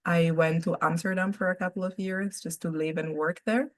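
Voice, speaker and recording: A woman from Italy whose first language is Italian, speaking English as a guest in a relaxed, open conversational interview and laughing easily. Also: on the low side, moderate pace. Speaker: podcast guest.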